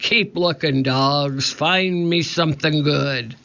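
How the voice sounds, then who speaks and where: Here is a huge, bullying pirate captain, played by a male storybook reader. He drags out his words slowly in a menacing, gravelly growl with a hard, forceful edge. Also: a little high, dull audio, full character voice. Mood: gruff menace.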